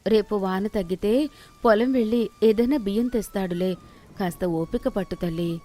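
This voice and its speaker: A woman's voice: mid-range voice, moderate pace, dark tone, natural voice. A woman voicing a poor, patient but worried village mother in a storybook, homely and plain-spoken. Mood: soothing. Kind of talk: acted moral storybook dialogue.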